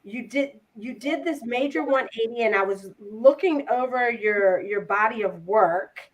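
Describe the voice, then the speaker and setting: A woman hosts a casual podcast interview, chatty and affectionate. Her voice sits high, and she often restarts her sentences as she works toward a question. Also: lively intonation, unhurried, rough recording. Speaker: podcast host.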